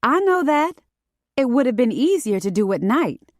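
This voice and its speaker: A woman's voice: mid-range voice, very animated, unhurried, dry recording, clean studio sound, voice pushed up. A woman voicing a brash, overdramatic, jealous young woman assassin with a petulant, profane manner in an audiobook. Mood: irritated, impatient. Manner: overly dramatic, petulant, profane. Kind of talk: audiobook character dialogue.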